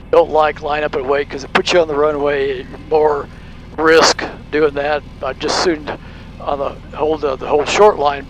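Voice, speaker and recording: A man, a pilot, giving casual, explanatory cockpit commentary and making radio calls. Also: fairly high pitch. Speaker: private pilot and video host. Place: small aircraft cockpit. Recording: steady aircraft engine noise.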